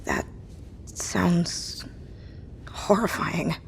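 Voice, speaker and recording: This is an isolated woman survivor in an audio drama. Her voice is on the low side, and she speaks earnestly and hesitantly, anxious to understand. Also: extremely slow, gravelly, in a whisper, heavily acted. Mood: uneasy, curious.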